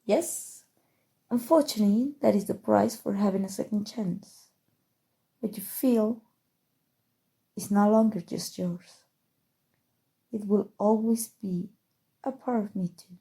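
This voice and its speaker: A woman playing a possessive evil sorceress in an ASMR roleplay, speaking softly and tenderly with a breathy voice that often drops to whispers. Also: medium pitch, exaggerated voice. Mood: soothing, seductive. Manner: slow, soothing, deliberate, sinister affection. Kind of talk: ASMR roleplay, intimate scripted monologue.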